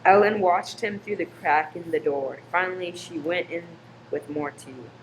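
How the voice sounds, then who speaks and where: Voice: mid-range voice, normal speed. A narrator reading a dramatic scripted radio play story. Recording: clear background noise.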